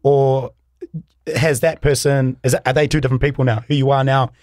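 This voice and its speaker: A man's voice: medium pitch, fairly even intonation, fairly quick, a little mellow, no room sound, clean studio sound. A man with a New Zealand accent co-hosting a radio show, chatting casually and effusively in informal slang. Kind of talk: casual radio interview chat.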